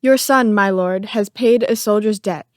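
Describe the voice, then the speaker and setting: Woman performing solemn thane, respectful, Shakespearean verse, medium pitch, dry recording. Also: a little slow, dry recording, lightly acted. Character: solemn, respectful thane bearing news of death. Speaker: amateur reader in library staff dramatic reading. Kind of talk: Shakespearean dramatic reading.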